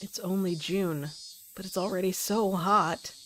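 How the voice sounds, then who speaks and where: A woman's voice: mid-range voice, steady tone, a little slow, a little hiss or hum. A woman live streaming, reading a story game aloud and chatting in a playful manner.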